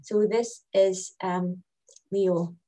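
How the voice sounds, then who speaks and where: A woman's voice: lowish voice, steady tone, extremely slow, warm tone, rough recording. Woman curator presenting at an online launch. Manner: hesitant, with fillers and restarts. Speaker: exhibition curator.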